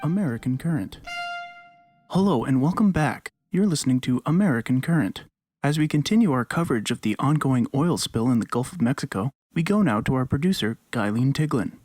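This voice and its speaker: An actor playing a polished public radio news host with a measured, formal delivery. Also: male voice, mid-range voice, lively intonation, darkish tone, faint music behind, close to an everyday voice. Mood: composed. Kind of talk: scripted radio news introduction.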